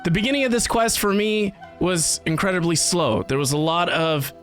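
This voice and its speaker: A man's voice: high-pitched, normal speed. A man giving casual, conversational reaction commentary as the host of a gaming video. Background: soft game music.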